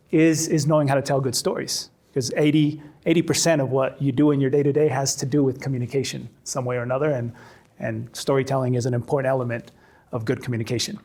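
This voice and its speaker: A man's voice: mid-range voice, little pitch movement, fast talker, darkish tone. Chilean-born man leading a workshop. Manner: conversational, repeats words as he restarts. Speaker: storytelling workshop trainer. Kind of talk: workshop talk to faculty. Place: classroom with a live audience.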